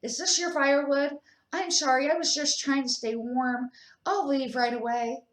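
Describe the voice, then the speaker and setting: A woman's voice: sits high, steady tone, moderate pace, voice pushed up, lightly acted. Timid, lonely monster, voiced by a woman, echoey. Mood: frightened, apologetic. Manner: timid, nervous, hesitant. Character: shy, lonely, frightened male monster. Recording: echoey room.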